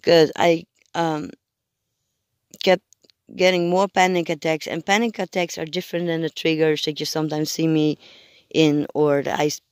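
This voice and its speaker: A hoarse adult vlogger who is sick, checking in on camera in a casual, unscripted way. The delivery is halting and hesitant, full of pauses while searching for words. The recording is dry and close, and the voice sits at a middling pitch. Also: no echo.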